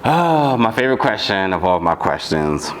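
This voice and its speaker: A man's voice: on the low side, very animated, normal speed, crowd noise. A man interviewing a guest, friendly and chatty, asking long rambling questions with casual slang.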